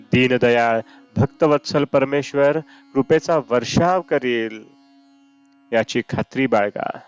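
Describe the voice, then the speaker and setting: A man reads a devotional discourse at a slow, deliberate pace in a mid-pitched voice. Indian classical music with sitar plays behind him, and the recording is slightly dull. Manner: measured, deliberate phrasing. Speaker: reader of spiritual discourses. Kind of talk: read-aloud devotional discourse. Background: Indian classical raga music with sitar.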